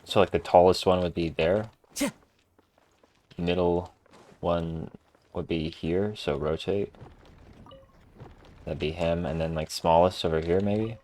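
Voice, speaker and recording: A man's voice: low voice, steady tone, slow pace, pauses often, dark tone, a little hiss or hum. A man giving casual, laid-back, slangy commentary as he plays a video game. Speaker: gamer, video host.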